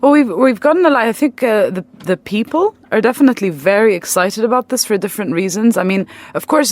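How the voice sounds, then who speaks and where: Woman explaining casually as interview guest. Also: lowish voice. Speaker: interviewed artist. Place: radio studio.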